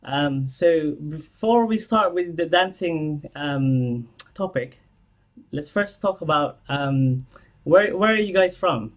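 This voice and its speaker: A man hosting an interview in a conversational style, asking questions in a halting way. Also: a little high, expressive. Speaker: interview host.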